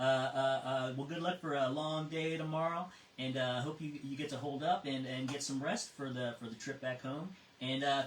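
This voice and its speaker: A man's voice: medium pitch, a bit bright. A man casually hosting an amateur radio net as net control, sometimes repeating his words. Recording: roomy, distant, with background noise.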